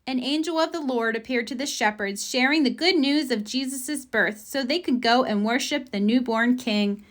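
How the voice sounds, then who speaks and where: A woman's voice: high-pitched, moderate pace. A woman reading a children's picture book aloud as a storybook narrator. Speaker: storybook reader.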